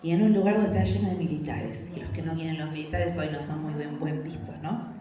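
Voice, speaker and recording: A woman from Argentina with a Rioplatense accent preaching a sermon in a church, animated and acting out little scenes and prayers in different voices. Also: deep voice, brisk, slight background noise. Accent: Rioplatense Spanish. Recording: echoey church hall.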